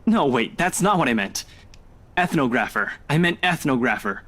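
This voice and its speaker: An actor playing a blunt, rational young skeptic in a visual novel game, speaking in a matter-of-fact, dismissive way. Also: male voice, medium pitch, big pitch swings, moderate pace, a bit bright. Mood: explaining, reasoning.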